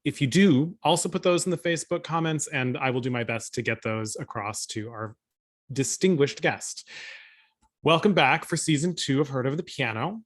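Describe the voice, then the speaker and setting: A man's voice: medium pitch, animated, normal speed. A man in his thirties hosting an online interview, chatty and upbeat, speaking over a video call from a room at home. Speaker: host.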